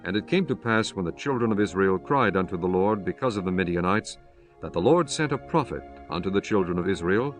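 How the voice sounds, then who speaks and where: A man narrating dramatized scripture as an audiobook, in a solemn, measured storytelling manner. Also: deep voice, lively intonation, faint music behind.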